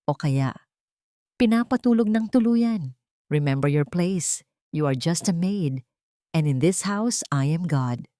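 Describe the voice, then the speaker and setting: A woman voicing a scheming, cruel doctor's wife who whispers threats in a falsely calm tone. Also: on the low side, unhurried, dry recording, clean studio sound. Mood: menacing whisper. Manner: soft menacing whisper.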